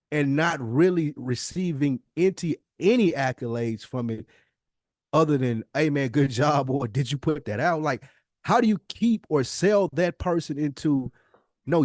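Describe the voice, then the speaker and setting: A man's voice: on the high side, darkish tone, no echo, studio quality. A man in a conversational podcast discussion, explaining emphatically and posing rhetorical questions as a co-host.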